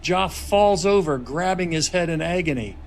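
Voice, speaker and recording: A man's voice: fairly high pitch, expressive, moderate pace. A man reading stage directions as scripted dramatic narration at a screenplay table read. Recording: noisy table-read room recording.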